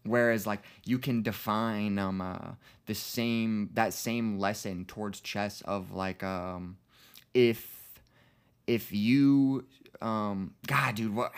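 A man's voice: lowish voice, vocal fry. A man in his early twenties talking casually and reflectively on a podcast, earnest and rambling, with plenty of slang. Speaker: podcast co-host.

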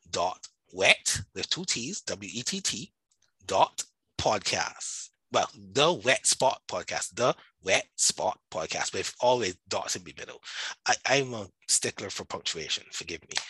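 A man from Barbados with a Barbadian accent, chatting casually about his own work in an animated, emphatic way full of asides. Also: medium pitch, breathy edge, no echo, poor audio. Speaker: podcast guest, writer and self-publishing coach. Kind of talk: casual conversational self-promotion.

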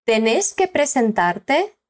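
A woman with a Brazilian Portuguese accent, teaching a language lesson slowly and clearly, prompting the listener to repeat. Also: fairly high pitch, sing-song. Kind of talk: scripted lesson narration.

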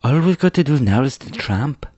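A man voicing an eager, proud young boy adventurer in a storybook, explaining things excitedly. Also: medium pitch, moderate pace, husky, dry recording, muffled recording, voice pushed up. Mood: brisk, confident.

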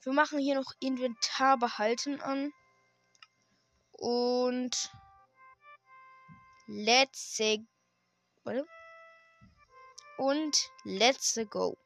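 A hoarse woman hosting a gaming channel, chatting casually and hesitantly while she plays, with lots of fillers and restarts. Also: high voice, drawn-out words, long pauses, fairly bright tone, soft background music. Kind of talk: casual gameplay commentary. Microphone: desk microphone.

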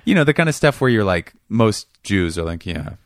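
A man from Seattle, a comedian guest in casual interview chat, talking in a rambling, self-deprecating way with a voice that is getting raspy. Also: lowish voice, very animated, moderate pace, dry recording, studio quality. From Seattle area, USA.

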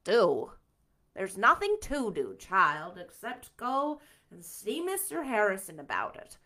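A lower, clipped voice of a strict older woman, scolding and practical, as a woman reading aloud puts her on. She talks briskly, with little patience. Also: huge pitch swings, pushed and bright, exaggerated voice. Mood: firm. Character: stern, no-nonsense older woman guardian.

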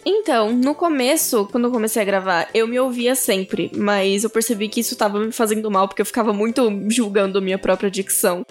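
A woman's voice: medium pitch, a little fast, hardly any pauses, faint music behind. A woman guest on a casual podcast interview, bubbly and giggly as she talks.

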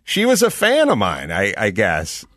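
A man's voice: on the low side, huge pitch swings, moderate pace, fairly bright tone. A man hosting a casual studio chat, joking and laughing often while a song plays behind him. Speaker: podcast host.

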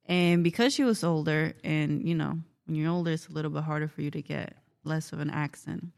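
A woman, calmly explaining things as a podcast guest. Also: low-pitched, fairly quick, creaky. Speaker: guest, host's wife. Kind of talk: casual podcast chat.

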